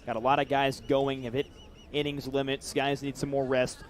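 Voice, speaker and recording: A man's voice: medium pitch, little pitch movement, normal speed. A young American man giving live radio play-by-play commentary of a baseball game. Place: outdoor baseball stadium broadcast. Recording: live outdoor ballpark crowd noise. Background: crowd and outdoor ballpark ambience.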